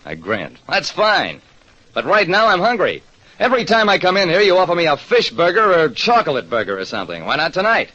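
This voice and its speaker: A man playing a wry, steady American private detective, narrating colloquially in 1940s radio drama style. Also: high-pitched, fairly quick, exaggerated voice. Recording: dull, clearly noisy old radio recording.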